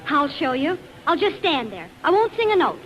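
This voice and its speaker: Feisty showgirl, very high bright voice. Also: very animated, moderate pace, dull audio. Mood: indignant. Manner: defiant, snappy. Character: feisty, headstrong female showgirl star, indignant and sharp-tongued. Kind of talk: 1940s radio acting. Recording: 1940s radio broadcast recording.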